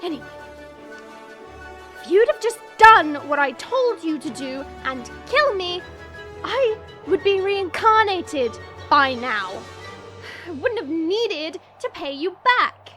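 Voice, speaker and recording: A woman's voice: extremely high pitch, very animated, slow, pushed and bright, faint music behind, exaggerated voice. A woman playing a haughty, hot-tempered dragon princess in human form, indignant and imperious, in an audio roleplay. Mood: scornful, irritated.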